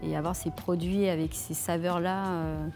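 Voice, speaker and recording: Woman chef, 30s, from France, lower voice, halting interview delivery with music bed. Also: fairly even intonation. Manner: hesitant, many fillers and restarts. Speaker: head chef. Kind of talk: filmed interview answers. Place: leafy outdoor restaurant courtyard. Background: soft background music.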